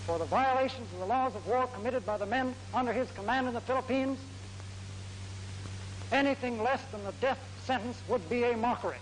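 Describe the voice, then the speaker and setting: A man speaks as a prosecutor in a formal courtroom address, delivering measured, deliberate phrases on an old 1940s archive recording. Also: extremely high pitch, slight background noise, poor audio. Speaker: military prosecutor.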